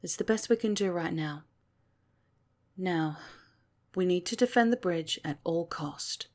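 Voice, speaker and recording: A woman playing a commanding alien station captain in an ASMR audio roleplay, authoritative and measured. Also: on the low side, lightly acted.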